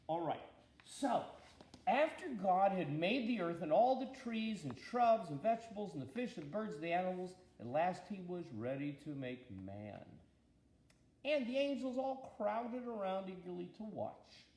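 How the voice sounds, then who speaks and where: An older man reading a children's storybook aloud in an expressive, grandfatherly way, with deliberate pauses and chatty asides. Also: high voice. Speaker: bishop reading Bible stories to his granddaughter. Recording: echoey room.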